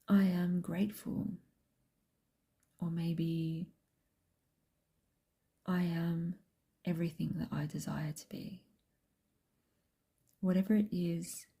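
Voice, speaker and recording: Woman whispering a guided meditation, super mellow and slow, creaky breathy voice. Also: fairly low pitch. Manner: gentle, unhurried, measured with long pauses.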